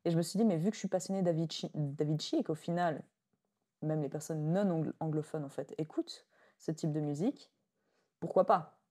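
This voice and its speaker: A woman hosts a podcast chat in a low voice. She talks things through in a reflective, easygoing way and laughs easily. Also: a little fast, warm tone. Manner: chatty, reflective, laughs easily, leans on "en fait". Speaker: podcast host. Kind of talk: casual podcast conversation.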